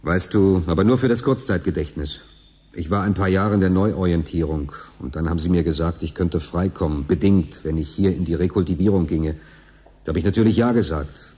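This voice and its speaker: A man's voice: sits low, a little fast, dark tone, slightly rough. An actor playing a lonely, teasing male convict in a German radio drama, talking in an intimate, musing way. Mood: uneasy, confessing. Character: Tonio, lonely male station convict, yearning, teasing, bitter.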